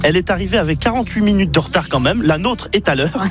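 A man's voice: high voice, animated, quick pace, loud music behind the voice. A man presenting a teasing celebrity gossip segment on a chat show. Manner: teasing, storytelling.

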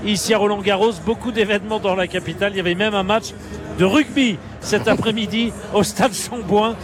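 A man presents live radio news in a loose, conversational way. His voice sits fairly high, and music can be clearly heard behind him. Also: steady tone, fairly quick.

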